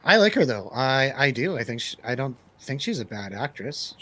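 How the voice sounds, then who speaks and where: A man's voice: mid-range voice, very animated, normal speed, pushed and bright. Joking, informal man hosting a chat. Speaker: radio show host and DJ. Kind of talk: casual film review banter.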